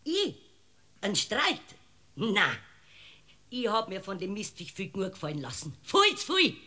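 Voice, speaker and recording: A woman's voice: mid-range voice, wildly swooping pitch, a little slow, bright, forceful tone, heavily acted. An actress playing an indignant, gossipy village woman with a Bavarian accent in a comic radio play, talking on self-righteously. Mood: defensive, indignant. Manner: voluble, self-righteous, complaining. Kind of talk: comic radio courtroom drama.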